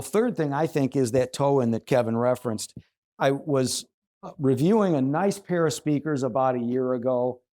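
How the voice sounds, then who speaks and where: Male host, relaxed mellow tone, mid pitch, articulate and conversational explainer. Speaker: host and audio reviewer. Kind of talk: conversational explanation of audio setup tips.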